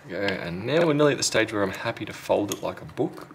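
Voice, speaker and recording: Man, low-pitched, fry in the voice, casual tutorial delivery, matter-of-fact, faint background noise with tool clicks. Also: animated, quick pace. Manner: matter-of-fact, talking while working with hands. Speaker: phone repair technician, tutorial host. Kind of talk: casual step-by-step repair tutorial. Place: indoor repair workbench.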